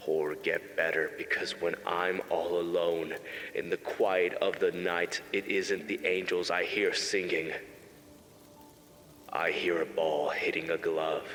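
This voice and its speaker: Haunted male mourner, played by an actor, solemn and halting, unhurried, reverberant hall with soft music. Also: deep voice, heavily acted. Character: grieving son giving a eulogy, somber, haunted. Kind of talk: comic dub monologue.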